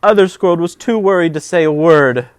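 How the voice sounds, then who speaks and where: A man reading a children's story aloud in an expressive, dramatic way. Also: on the high side, fairly quick, no echo, studio quality. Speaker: preschool storybook reader. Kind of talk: animated children's story narration.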